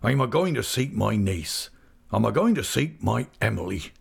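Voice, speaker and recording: Man playing a gravelly, stricken older seaman. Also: fairly low pitch, very animated, moderate pace, heavily acted. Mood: wild, vengeful. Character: rough older seafaring man, stricken, fiercely devoted uncle. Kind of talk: dramatised audiobook character.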